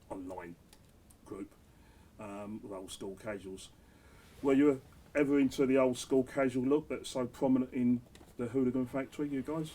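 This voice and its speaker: A man's voice: lowish voice, mellow. A middle-aged man, hosting a casual interview, reading out viewers' questions and joking with his guests. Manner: jokey, blokey, reads out viewer questions.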